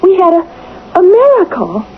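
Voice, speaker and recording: Earnest young woman, reproachful sweetheart, high voice, pleading, emotional radio acting, tinny sound. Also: wildly swooping pitch, measured pace, exaggerated voice. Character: devoted, reproachful young wife of an athlete. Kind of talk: 1970s radio drama acting. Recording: tinny, noisy vintage radio broadcast.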